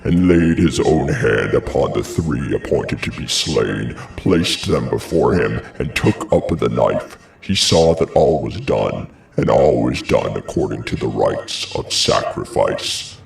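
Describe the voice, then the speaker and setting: An actor playing a grave, horrified messenger in a classical tragedy, declaiming a dramatic account in a gravelly voice. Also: male voice, rumbling low, animated, slow, reverberant, recorded from a distance, exaggerated voice, rough recording. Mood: horrified, solemn. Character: horrified royal messenger recounting a ghastly sacrificial murder. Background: music.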